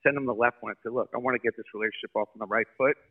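Fast-talking New man on a phone line. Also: medium pitch, slightly rough. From Brooklyn, New York. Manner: brisk, animated storyteller. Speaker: sports marketing guest. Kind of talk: podcast interview.

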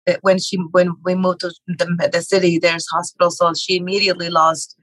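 Brief: middle-aged woman from Mexico, lowish even voice, hesitant and halting, dry close sound. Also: dry recording, poor audio. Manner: hesitant, many fillers and restarts. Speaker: podcast guest, real estate investor and author. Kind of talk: conversational interview.

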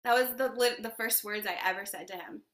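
A woman's voice: medium pitch, brisk, a bit bright. A woman, a yoga teacher, chatting as an interview guest, effusive and laughing often as she talks about spiritual ideas. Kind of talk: casual interview chat. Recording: roomy echo.

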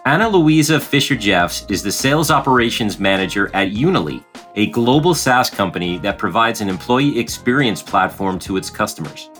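A man's voice: lowish voice, lively intonation, normal speed, fairly bright tone, soft background music. A man co-hosting a sales podcast in a friendly, conversational way. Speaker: podcast co-host, sales leader.

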